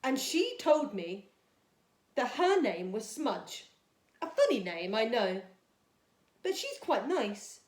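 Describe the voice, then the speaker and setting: Woman voicing a shy, high-pitched lonely little boy. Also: big pitch swings, slow, long pauses. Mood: shyly excited. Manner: childlike, shy, earnest. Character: lonely, timid, polite well-off little boy. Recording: echoey, roomy sound.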